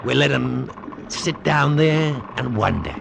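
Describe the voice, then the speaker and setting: A scheming, bullying ship's mate with a gravelly voice. His delivery swings expressively with sly relish, in an old radio play with noise behind. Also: mid-range voice, animated, slow pace, full character voice. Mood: sly, gloating. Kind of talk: old-time radio drama acting. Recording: noisy old radio recording, ship ambience.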